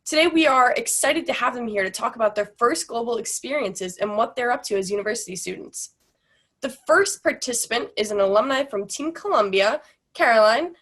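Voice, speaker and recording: Upbeat woman hosting a panel on a video call, slightly high voice. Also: expressive, brisk, fairly bright tone. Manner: upbeat, reads introductions. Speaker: moderator. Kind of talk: moderating an online panel.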